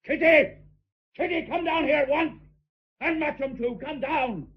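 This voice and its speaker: An actor playing an enraged, imperious British admiral, commanding and indignant, in a radio drama. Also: male voice, very high voice, measured pace, dull audio. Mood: furious.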